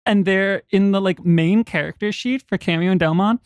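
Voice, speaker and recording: A man's voice: high voice, moderate pace, clean tone, no echo, clean studio sound. A podcast co-creator and composer chatting casually and joking with his co-hosts. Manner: relaxed, joking.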